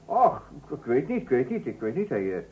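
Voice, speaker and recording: A man's voice: mid-range voice, huge pitch swings, fairly quick, warm tone. An actor playing a thrifty, self-important, sceptical husband and father in an old radio comedy. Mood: flustered, insistent. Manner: sceptical, self-important, stammering when interrupted. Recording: tinny, narrow old radio recording.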